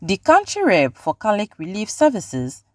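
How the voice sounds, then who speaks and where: A woman's voice: medium pitch, wildly swooping pitch, normal speed, no echo, clean studio sound. A woman with a Ghanaian accent reading scripted news narration as a reporter. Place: close dry voice-over recording.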